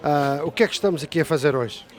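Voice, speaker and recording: A man's voice: on the high side, fast. A middle-aged man interviewing at a community festival, asking leading questions that usually end with a tag question. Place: outdoor festival.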